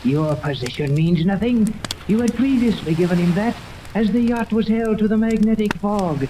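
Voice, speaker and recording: An actor in a 1930s radio serial playing a boastful mad scientist villain, speaking slowly and formally with a gloating menace. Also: male voice, high voice. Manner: slow, gloating, formal speech with sinister chuckles. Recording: noisy 1930s radio recording with rain-like hiss.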